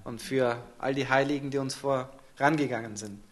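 A man's voice: mid-range voice, steady tone, moderate pace. A man interpreting a sermon live, turning each short phrase into a fuller sentence right after the speaker.

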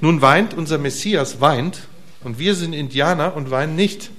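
A middle-aged man preaching a casual sermon to a congregation in a church, asking them questions and swinging between emphatic bursts and quiet, soft asides. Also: a little high, moderate pace. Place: church hall before a congregation.

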